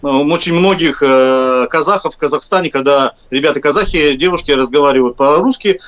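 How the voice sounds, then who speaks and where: A man's voice: on the high side, brisk, fairly bright tone. A man from Kazakhstan with a Russian accent, a musician guest chatting on a live-stream interview over a video call, rambling with jokey asides. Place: home, abroad on a video call.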